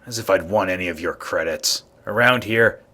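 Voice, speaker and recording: Man, game character, lowish voice, sharp, scornful, proud, combative. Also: normal speed. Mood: scornful anger. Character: hostile, proud man guarding his family's legacy. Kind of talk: video game character dialogue.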